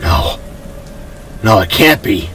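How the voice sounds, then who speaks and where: A man's voice: lowish voice, lively intonation, slow, sharp tone, faint music behind, voice pushed down, exaggerated voice. A man voicing a stern, tense, commanding adventurer in a story reading. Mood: tense disbelief.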